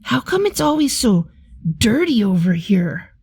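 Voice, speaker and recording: A woman's voice: a little high, very animated, measured pace, darkish tone, breathy edge, no room sound, exaggerated voice. A woman voicing a wistful, grumbly young boy in a storybook, asking informal, complaining questions. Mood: puzzled.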